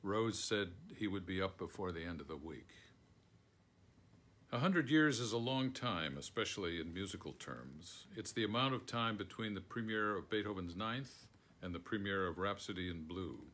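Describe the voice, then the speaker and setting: An older man with an American accent reads a prepared text aloud. His voice sits low, and his delivery is measured. It is recorded indoors on a phone, as if at home. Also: animated, fairly bright tone. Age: older, around 70. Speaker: foreword author reading aloud. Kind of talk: reading a written foreword aloud. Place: book-lined room at home, indoors.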